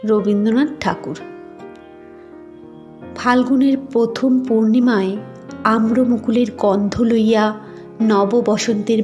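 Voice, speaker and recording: Very slow-paced woman storyteller. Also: fairly high pitch, darkish tone. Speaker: story narrator. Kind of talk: scripted literary narration.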